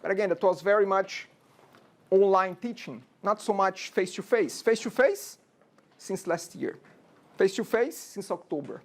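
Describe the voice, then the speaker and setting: A man's voice: sits high, lively intonation, normal speed. Brazilian-accented man lecturing a class. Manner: chatty, checks in with frequent "okay?" and rhetorical questions. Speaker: college programming instructor. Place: university classroom.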